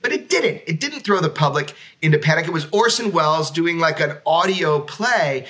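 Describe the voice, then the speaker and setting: A man's voice: on the high side, animated, moderate pace, pushed and bright. A man hosting a podcast in casual, enthusiastic talk, informal and emphatic. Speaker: talk show host.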